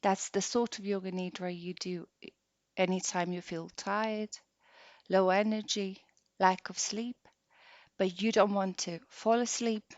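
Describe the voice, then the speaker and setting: Woman doing guided meditation, really slow n soft, long pauses, dull lo-fi dry recording. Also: medium pitch, steady tone, no echo. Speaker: yoga nidra meditation guide.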